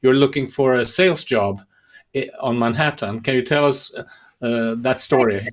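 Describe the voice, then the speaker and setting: Man, podcast host, medium pitch, conversational interviewing, halting phrasing with repeated words.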